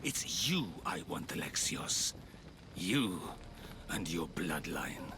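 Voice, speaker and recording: Slow, gravelly, menacing villain. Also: male voice, deep voice, full character voice. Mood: calm menace. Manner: slow, deliberate, calmly menacing. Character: cold, menacing older male leader of a secret order. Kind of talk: dramatic video game acting. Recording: noisy, with ambient sound behind.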